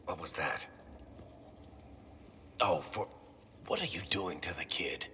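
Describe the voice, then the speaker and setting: A roguish middle-aged game companion with an extremely low, gravelly voice. The tone is sardonic and conversational, with a forceful edge and some faint noise behind it. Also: male voice, takes it slowly, exaggerated voice. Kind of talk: video game voice acting.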